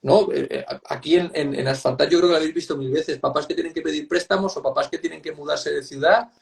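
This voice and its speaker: A man's voice: a little high, fairly even intonation, fast, creaky. A man with an accent from Spain, explaining things conversationally and emphatically as a guest psychotherapist, often checking for agreement. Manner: emphatic, repeats points, seeks agreement with tag questions. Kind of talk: conversational expert explanation in an online interview.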